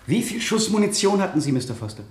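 An actor playing a relentless, sarcastic prosecutor in a German radio drama, questioning in a pointed, theatrical way. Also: male voice, high voice, very animated, fast talker, echoey room, slight background noise. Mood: probing. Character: relentless, sarcastic male prosecutor cross-examining the accused.

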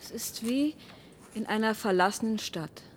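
An actress playing a resolute stranded space traveller in a radio play, urging others on and asking questions. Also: female voice, moderate pace, natural voice. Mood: puzzled. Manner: urging, inquisitive. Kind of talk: German radio play acting. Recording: faint background noise and rumble.

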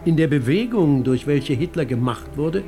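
An actor as a dry, precise narrator, giving literary documentary narration in a radio play. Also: male voice, fairly high pitch, lively intonation, normal speed. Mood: measured, grave. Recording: radio drama with music underneath.

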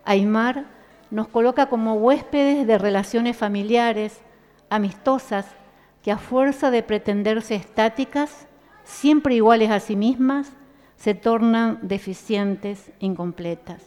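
A woman presenting a book at a live event, speaking casually with fillers and then reading a prologue aloud in measured phrases. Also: fairly high pitch, darkish tone. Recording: reverberant hall.

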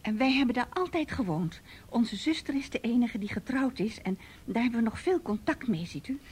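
A woman acting in a radio play as a timid, flustered, chatty neighbourhood lady with a hesitant, confiding, breathy delivery. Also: fairly high pitch, expressive, fairly quick, darkish tone, slight background noise, close to an everyday voice. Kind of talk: naturalistic Dutch radio play dialogue.

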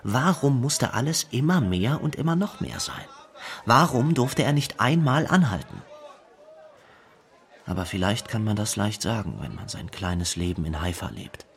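Regretful friend, radio drama monologue, animated intonation, gravelly, questioning. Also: male voice, lowish voice, moderate pace.

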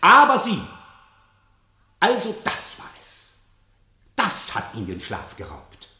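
An actor playing a stern military general who interrogates in a probing, sardonic and authoritative way in a radio play. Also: male voice, high-pitched, wildly swooping pitch, takes it slowly, bright, forceful tone, heavily acted. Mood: stern, accusing. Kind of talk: German radio play acting. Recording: roomy, echoing studio radio play.

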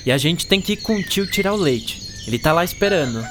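A woman's voice: fairly low pitch, expressive, quick pace, noisy background, lightly acted. A woman playing an upbeat, helpful boy in a children's audio drama, talking colloquially. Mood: cheerful. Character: Marquinho, lively, helpful country-loving boy.

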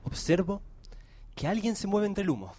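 A woman playing a flustered, excitable female field reporter in a parody radio drama, speaking dramatically. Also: mid-range voice, moderate pace. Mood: flustered, awed. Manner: breathlessly dramatic, hesitant.

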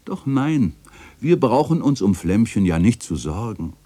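Fond ageing bookworm chronicler, radio drama, reflective and poetic, dark soft voice, big melodic swings. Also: male voice, on the low side, sing-song, a little slow. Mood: fond, lively. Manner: reflective, lyrical, tender. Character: ageing, fond, bookish male chronicler with greying hair. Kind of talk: literary German radio play narration.

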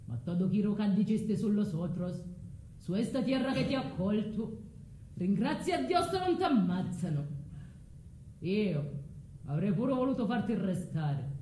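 A woman's voice: very animated, a little slow, mellow. A woman voicing a pompous, condescending, coaxing immigrant husband in a theatrical monologue, with a southern Italian accent mixed with Spanish. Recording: echoey room with faint rumbling noise.

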